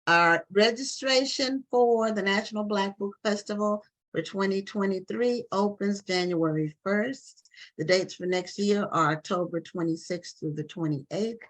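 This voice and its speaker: A woman's voice: mid-range voice, unhurried, poor audio. A woman hosting a live online book show, chatty and loose with restarts and fillers, talking over a video call. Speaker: talk show host.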